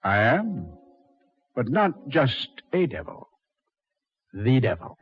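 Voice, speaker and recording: An actor playing a suave, mocking Devil in a measured, mock-polite 1940s radio horror style, on a muffled old recording. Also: male voice, medium pitch, very animated, breathy edge, heavily acted. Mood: smug, ominous. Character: the Devil, suave, mocking, coldly taunting male master of hell.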